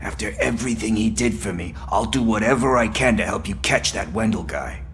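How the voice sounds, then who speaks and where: A man's voice: lowish voice, a little fast, a little hiss or hum, lightly acted. An actor playing a wry, easygoing male street informant in a video game, with a playful, theatrical manner. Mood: wry, friendly.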